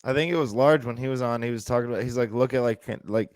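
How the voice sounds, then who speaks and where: A man's voice: mid-range voice, quick pace, a little mellow. A man co-hosting a podcast, casually prompting a guest with plenty of "like" and "you know". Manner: loose, filler-heavy prompting. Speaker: podcast co-host. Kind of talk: casual chat.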